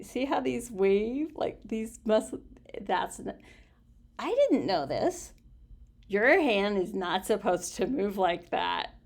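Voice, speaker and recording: A woman around her sixties chatting casually and affectionately to camera, rambling in an expressive, giggly way and a little punchy from lack of sleep. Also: mid-range voice, creaky. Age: older, around 60s. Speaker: storytelling vlogger.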